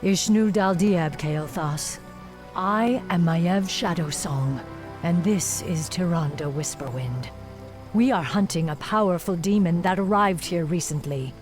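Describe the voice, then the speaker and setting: A woman's voice: fairly low pitch, a little slow, music in the background, close to an everyday voice. An actress playing a stern, impatient elven warrior woman in a fantasy video game, speaking formally and with command. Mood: impatient, guarded. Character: stern, impatient night elf warrior woman hunting a demon. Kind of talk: dramatic video game cutscene acting.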